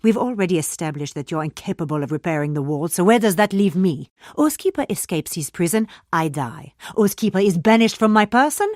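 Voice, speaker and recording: Woman acting a haughty, low-voiced cruel matriarch. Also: expressive, a little fast, hardly any pauses, darkish tone, no room sound, studio quality, close to an everyday voice. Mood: sly confidence. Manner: haughty, sardonic, theatrical. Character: cruel, imperious older female occult matriarch, drily threatening. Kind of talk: dramatic scripted dialogue.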